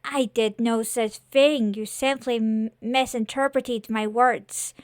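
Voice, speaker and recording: A woman as a slow, menacing demon lord. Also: a little high, steady tone, no room sound, clean studio sound. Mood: mocking. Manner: mocking, drawn-out, menacing. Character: smooth, manipulative horned male demon lord.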